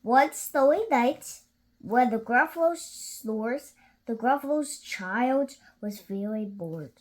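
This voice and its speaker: A woman reads a picture book aloud for children. Her voice is high and animated, and she takes the rhythmic lines very slowly. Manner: deliberate, rhythmic phrasing. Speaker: children's story reader.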